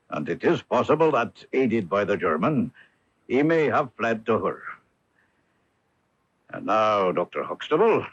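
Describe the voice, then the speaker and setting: A man's voice: mid-range voice, fairly bright tone. A man playing a stiff, dignified aristocratic father in a radio mystery drama, formal and haughty. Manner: formal, haughty, hesitant under questioning. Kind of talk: old-time radio mystery drama acting. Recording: dull lo-fi AM radio broadcast.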